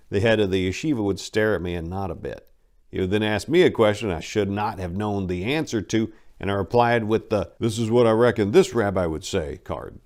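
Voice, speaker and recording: A man reading first-person memoir narration aloud in a folksy, conversational storyteller's manner. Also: low voice. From southern United States (Memphis).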